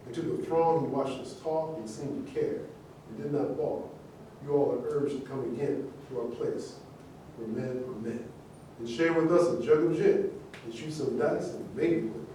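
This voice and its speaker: Casting: male actor as genial theatrical host, mid-pitched, declamatory, inviting, in a very reverberant room. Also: normal speed, warm tone, close to an everyday voice. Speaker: actor in a staged reading. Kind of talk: theatrical rhymed verse, staged reading. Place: live staged reading in a roomy space. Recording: very echoey room, distant mic, faint noise.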